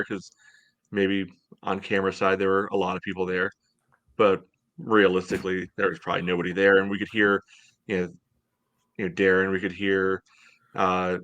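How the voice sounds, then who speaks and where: An American man in his thirties hosting a casual sports podcast over a video call, speaking haltingly with lots of "you know". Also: low-pitched, a bit bright. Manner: hesitant, halting delivery, heavy use of "you know". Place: home room, indoors, on a video call. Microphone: headset on video call.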